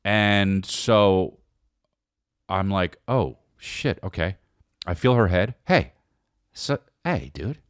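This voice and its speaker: An American man hosting a comedic podcast, telling personal stories in a casual, rambling, animated way with repeated phrases for emphasis. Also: mid-range voice, gravelly, no echo, studio quality.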